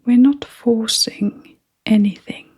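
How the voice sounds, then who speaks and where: Very slow, breathy woman guiding meditation. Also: on the high side. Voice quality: soft, breathy, half-whispered. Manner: gentle, unhurried, spacious phrasing. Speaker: meditation guide. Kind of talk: guided meditation.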